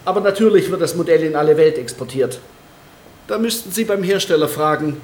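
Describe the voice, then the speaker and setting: A man's voice: a little high, brisk, natural voice. Pedantic forensic expert in an echoey room, acted by a man. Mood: explanatory. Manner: pedantic, explanatory, detached. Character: matter-of-fact male forensic lab expert, proud of his specimens. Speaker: supporting actor. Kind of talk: radio drama dialogue. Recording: roomy echo, faint background noise.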